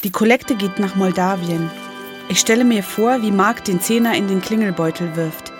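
A woman delivers reflective, scripted first-person narration for a radio feature. Music is very present behind her voice, which sits at a middle pitch. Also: normal speed.

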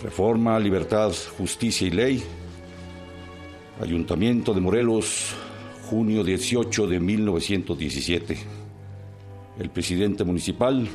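A municipal official in a radio play, acted by a man, dictating a formal letter. His voice is fairly low, grave and ceremonious, with faint music underneath. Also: unhurried, long pauses, darkish tone, lightly acted. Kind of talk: radio drama letter reading.

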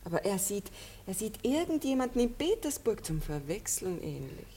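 Lively, coquettish woman, swooping intonation. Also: medium pitch, moderate pace, breathy edge. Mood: regretful, airy. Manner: coquettish, theatrical. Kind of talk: classic German radio play acting.

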